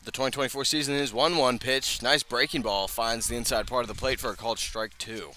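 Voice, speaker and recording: Bright-voiced baseball play-by-play man. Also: medium pitch, steady tone, fairly quick. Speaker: baseball radio play-by-play announcer. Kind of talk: live sports commentary. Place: outdoor ballpark broadcast position.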